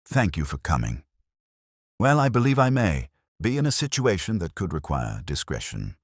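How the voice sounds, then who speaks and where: A man's voice: low voice, huge pitch swings, normal speed, no room sound, studio quality, exaggerated voice. A man voicing an anxious, embarrassed bishop in a story, fussy, evasive, hesitant and genteelly formal. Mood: nervous.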